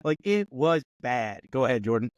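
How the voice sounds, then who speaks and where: A man's voice: medium pitch, very animated, normal speed, no echo, lo-fi sound. A man co-hosting a movie review podcast, rambling with lots of fillers and laughing often in casual chat. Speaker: podcast co-host.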